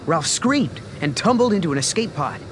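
A man narrating a children's story from a script, with expressive storytelling emphasis. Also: on the high side, moderate pace, noisy background.

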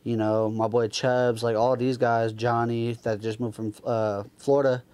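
A young man with a medium-pitched voice talks as a podcast co-host. His delivery is loose and slangy, like chatting with friends on a couch, held close to a handheld microphone. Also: little pitch movement, normal speed, darkish tone, smooth. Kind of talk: casual slangy group chat. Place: small room with couches, indoors.

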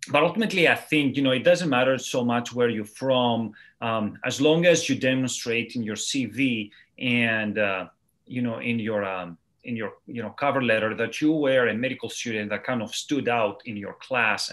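A man's voice: mid-range voice, fairly bright tone. A man in his thirties from Greece, a surgical resident, explaining things earnestly with many ums and you-knows over a video call. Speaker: neurosurgery resident guest. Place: small room at home, indoors. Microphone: video call webcam mic.

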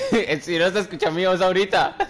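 A man's voice: sits high, fairly bright tone. A young man, chatting casually while streaming a game with friends, laughing often and teasing them. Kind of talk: casual gaming chat with friends.

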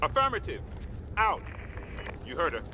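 A man playing a gruff, practical army sergeant in a video game, commanding and no-nonsense. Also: on the high side, wildly swooping pitch, normal speed. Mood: businesslike. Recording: clear battlefield and vehicle noise behind.

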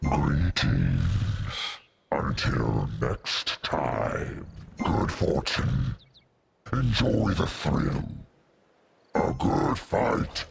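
An actor playing a menacing, hungry hellhound beast in a video game, with a gravelly growl and a slow, taunting, deliberate delivery. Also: male voice, extremely low pitch, very animated, a little hiss or hum, exaggerated voice. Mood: curt, menacing. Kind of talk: video game character voice lines.

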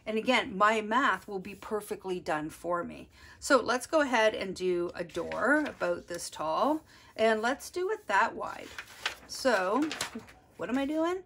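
A woman's voice: medium pitch, animated, unhurried, a bit bright, slight background noise. A woman art teacher giving a step-by-step drawing lesson, explaining as she works and thinking aloud. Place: desk, overhead camera on drawing.

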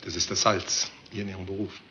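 A guarded male doctor in a radio crime play. He speaks low and fast, defensively, on a dull recording with faint noise. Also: flat delivery, sharp tone. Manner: hedging, guarded. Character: evasive, defensive male doctor under questioning. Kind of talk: German radio crime drama acting.

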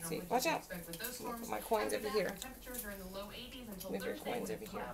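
A woman's voice: mid-range voice, fairly even intonation, unhurried, gravelly, over a noisy crowd. A woman in her thirties, a mother at a kitchen table at home, patiently walking her young daughter through a board game, reading the rules aloud and counting the moves.

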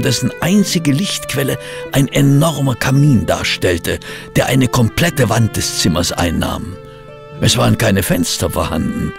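An expressive, fussy gentleman companion recounts a detective case in an audio drama. His mid-range voice is lively and creaky, with music behind it. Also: medium pitch. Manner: literary, expressive storytelling. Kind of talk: radio play narration and acting.

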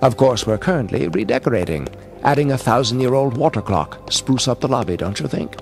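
A man's voice: mid-range voice, big pitch swings, fairly quick, vocal fry, faint music behind. A man playing a menacing, urbane crime lord in a comic-book audio drama, speaking with suave, mock-polite formality. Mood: suave menace.

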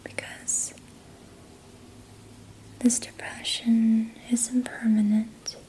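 Slow whispering young woman. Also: on the high side, little pitch movement, poor audio. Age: young adult. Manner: soothing, reassuring, gentle, with long pauses. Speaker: ASMR host doing a healing roleplay. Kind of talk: whispered ASMR guided relaxation. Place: small indoor room at night.

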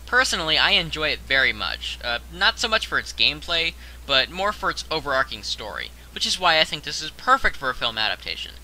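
A man's voice: fairly high pitch, big pitch swings, fairly quick, hardly any pauses, bright, forceful tone, slight background noise, poor audio. A young man narrating a scripted top-ten countdown as host, in a conversational way that addresses the viewer directly.

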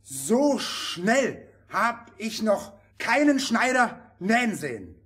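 An actor playing an excitable tailor in a fairy-tale radio play. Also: male voice, high-pitched, slow, exaggerated voice. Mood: proud.

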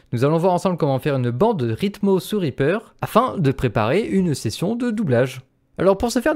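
A man's voice: on the high side, darkish tone. A man presenting an instructional screencast as a voice-over. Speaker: tutorial presenter.

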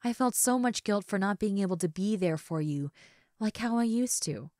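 A woman acting a tender, regretful young substitute teacher in an intimate roleplay monologue, speaking hesitantly with soft sighs on a close mic. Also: mid-range voice, expressive, moderate pace, no echo, natural voice. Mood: guilty, earnest. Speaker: roleplay audio voice actress. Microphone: close studio mic, dry.

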